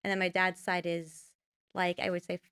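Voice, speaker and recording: A woman's voice: lowish voice, completely flat delivery, fairly quick, a bit bright, smooth, no echo, studio quality. A young woman, a filmmaker guest, talking casually in a podcast interview and rambling with many fillers. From United States. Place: podcast studio.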